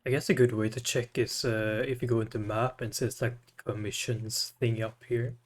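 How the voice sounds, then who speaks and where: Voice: medium pitch, level tone, moderate pace. A game streamer reacting casually in brief, laid-back interjections during a livestream.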